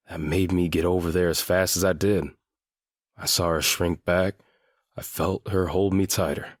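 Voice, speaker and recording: A man playing a guarded seventeen-year-old boy in a full-cast audiobook, speaking in a deliberate, matter-of-fact way. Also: extremely low pitch, no echo, clean studio sound. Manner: deliberate, matter-of-fact, swears now and then.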